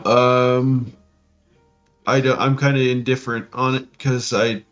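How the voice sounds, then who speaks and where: A man's voice: medium pitch, flat delivery, unhurried, a bit bright, dull audio, soft background music. A man co-hosting a podcast, chatting casually about tabletop games in a hesitant, noncommittal way. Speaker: podcast co-host.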